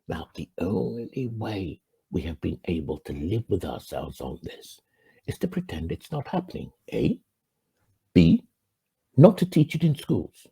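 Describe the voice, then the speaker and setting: Husky, gravelly man from Ghana. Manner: earnest, swinging from emphatic rises to quiet low endings. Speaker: channel host. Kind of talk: reflective monologue to camera.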